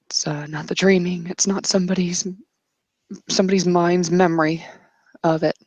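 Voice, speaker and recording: A woman game master improvising narration for a tabletop roleplay, halting yet directive. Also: fairly low pitch, steady tone, darkish tone, no echo.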